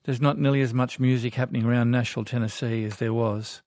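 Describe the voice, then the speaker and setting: Folksy Australian radio host, dry studio sound. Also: male voice, mid-range voice, steady tone, fast, a little mellow, dry recording. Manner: chatty, folksy, reads listener emails aloud. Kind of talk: talkback radio chat. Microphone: studio microphone.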